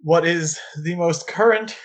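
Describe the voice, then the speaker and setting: A man's voice: on the high side, measured pace. A man talking casually about films as a podcast co-host, enthusiastic and given to rambling asides. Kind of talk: casual film discussion.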